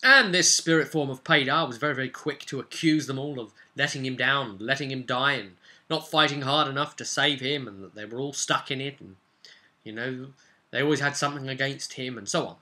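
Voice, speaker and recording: A man talks off the cuff like a game master recapping a session. His voice is very bright and forceful at an ordinary pitch, and he rambles haltingly before punching out phrases with emphasis. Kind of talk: casual unscripted vlog recap.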